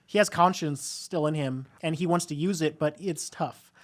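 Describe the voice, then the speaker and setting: A man's voice: on the high side, normal speed. A man co-hosting a podcast in casual discussion, speaking in an informal, opinionated way. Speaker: podcast co-host.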